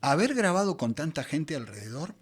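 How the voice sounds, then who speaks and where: A middle-aged Argentine man from Buenos Aires telling anecdotes conversationally as an interview guest, in an expressive, theatrical way. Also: on the high side, moderate pace, slightly rough. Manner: expressive storyteller, acts out quoted dialogue. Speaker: interview guest, veteran voice actor.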